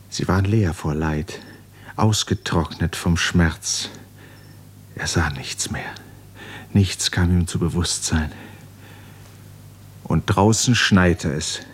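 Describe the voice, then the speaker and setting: A grave male storyteller in a 1950s German radio play. He narrates a tragic novel with measured, literary weight, very slowly and in a fairly low register. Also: husky, exaggerated voice.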